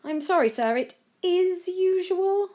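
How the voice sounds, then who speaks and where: A woman's voice: medium pitch, sing-song, moderate pace, pitched above the performer's own voice, lightly acted. A woman reader voicing a formal, dutiful male aide who explains things deferentially, like a butler.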